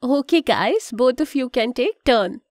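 A woman's voice: high voice, animated, a little slow, no echo, studio quality. A woman voicing a kind, instructive mother in a children's cartoon, with an Indian accent and a patient, explanatory manner. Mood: patient, guiding. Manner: patient, explanatory, gently cautioning.

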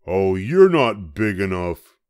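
An older man voicing a small grouchy ladybug in a children's storybook, loud and brash, always spoiling for a fight. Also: low-pitched, very animated, slow pace, smooth, no room sound, studio quality, full character voice. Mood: dismissive.

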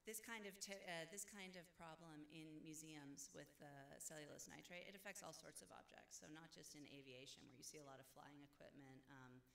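A woman's voice: deep voice, steady tone, brisk, gravelly, hardly any pauses, a bit bright. A woman scientist giving a conference talk with slides, conversational, with many "uh" fillers and self-corrections. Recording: echoey room, faint background noise.

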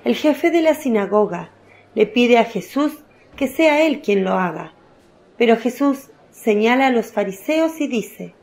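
A woman's voice: a little high, lively intonation, measured pace, a little mellow. A woman narrating a radio drama as scripted storytelling.

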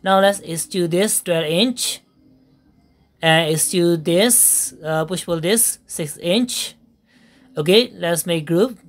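Woman narrating a software tutorial. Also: on the low side. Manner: step-by-step instructions with frequent fillers and pauses. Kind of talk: screen-recorded software tutorial voice-over.

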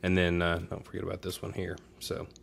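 A man's voice: low-pitched, completely flat delivery, a little fast, gravelly, slight background noise. A man giving a casual how-to narration in an informal, folksy way, thinking aloud as he goes, recorded in a room at home. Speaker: DIY demonstrator.

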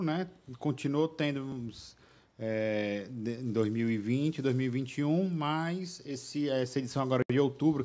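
A young man, a podcast guest, answering casually with many fillers and restarts, recorded on a boom-arm studio mic in a small studio.

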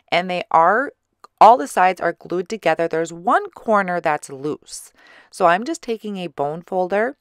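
A woman giving a chatty voice-over for a card-making tutorial, her voice slightly hoarse. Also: on the low side, no echo, studio quality. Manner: relaxed, chatty explaining with small fillers. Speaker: card-making channel host. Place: recorded separately as voice-over.

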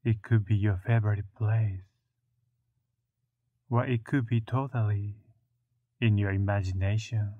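A man leading a guided meditation in a soothing, measured way, with long pauses between short phrases and a narrow, muffled sound. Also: fairly low pitch, fairly even intonation, dry recording.

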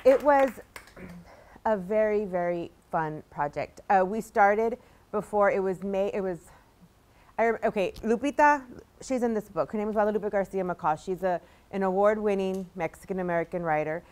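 A woman with a mid-pitched voice talks on a panel in a museum meeting room. She tells her story in a lively, enthusiastic way. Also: normal speed. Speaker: anthology editor on a panel. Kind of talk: casual panel talk.

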